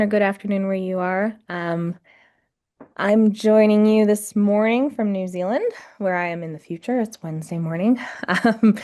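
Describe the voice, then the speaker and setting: A woman's voice: medium pitch, dark tone. A woman from New York City giving an informal academic webinar lecture over a video call, with frequent fillers. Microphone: computer mic on a video call.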